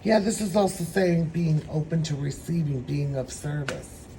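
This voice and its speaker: A man with a hoarse, troubled throat giving a meandering, reflective spiritual tarot reading. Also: fairly high pitch, noisy background. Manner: meandering, reflective, slow-spoken. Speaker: tarot reader.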